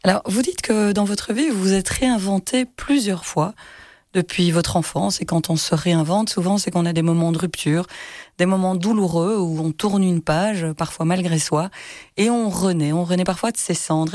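Talk-show host, calm and measured, dry recording. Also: mid-range voice, dry recording. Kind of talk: interview hosting.

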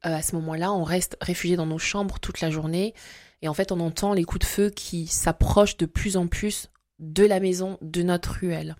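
A woman in her forties from Congo-Brazzaville, a guest telling her faith story in an interview and pausing often with fillers and restarts. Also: fairly low pitch, steady tone, darkish tone, dry recording, clean studio sound. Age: 40s. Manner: hesitant, many fillers and restarts. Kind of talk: testimony interview.

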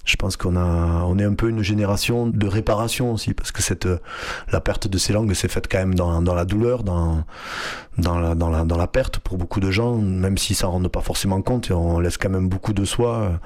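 A young man, raised speaking Flemish at home, talks through an interview in a low voice. He stops often with fillers and restarts his sentences, searching for words as he goes. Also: moderate pace, a little mellow. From France (Hérault, Occitanie). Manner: hesitant, many fillers and restarts. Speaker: artistic director, guest. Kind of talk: radio interview.

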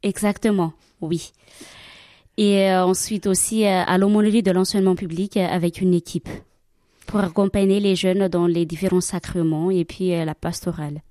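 A woman's voice: fairly low pitch, fairly even intonation, normal speed, dark tone, dry recording, studio quality. A woman from Madagascar whose first language is Malagasy, a religious sister answering interview questions in a hesitant way with many fillers.

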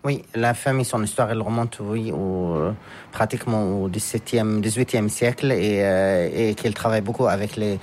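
A man from France, raised in Japan, answering interview questions in a halting way as he searches for words. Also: fairly low pitch, darkish tone, a little hiss or hum. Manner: halting, searching for words, with frequent pauses and restarts. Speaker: guest, scientist and businessman.

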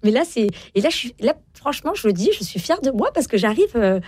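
A woman in her forties speaks quickly in a slightly husky voice, emphatic and animated as she answers questions about her book. The sound is dry and close, with no room around it. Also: fairly high pitch, no room sound. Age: 40s. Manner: animated, emphatic, fast, many fillers. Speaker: author and parenting guest. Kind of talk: interview conversation.

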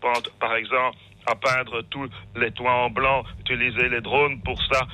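A man's voice: mid-range voice, flat delivery, normal speed, lo-fi sound. A man, rambling hesitantly and repeating words as he phones in to a radio show. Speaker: phone-in caller. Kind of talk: spontaneous radio call-in remarks. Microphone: telephone call.

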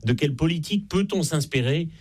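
A man with a Belgian French accent, an author being interviewed on a radio call-in show, explaining in a measured way. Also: a little high, expressive, darkish tone. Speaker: author guest.

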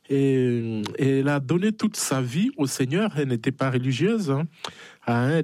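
A man's voice: on the high side, darkish tone, dry recording. A man from the Democratic Republic of the Congo with a Congolese French accent, speaking as a missionary priest in a conversational interview, hesitant and often adding small affirming tags. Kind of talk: conversational interview, personal testimony.

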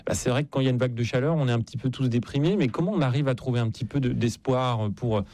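A man's voice: mid-range voice, racing, mellow, no room sound. A man hosting a live radio interview, chatting casually and often repeating words as he searches for the next one. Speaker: radio show host and interviewer. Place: radio studio. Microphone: studio microphone.

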